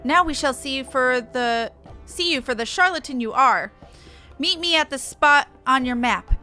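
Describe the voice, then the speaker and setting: A woman's voice: high-pitched, animated, moderate pace, bright, forceful tone, soft background music, voice pushed up. Woman playing a haughty, scornful female beast warrior. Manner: indignant, sneering, formal phrasing. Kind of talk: reading game dialogue in character.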